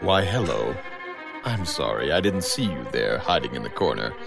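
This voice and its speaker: An actor playing a theatrical horror radio show host in an audio drama, speaking in drawn-out patter. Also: male voice, rumbling low, very animated, vocal fry, loud music behind the voice, exaggerated voice. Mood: sinister. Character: smooth male horror-show host Bob, menacing then pleading.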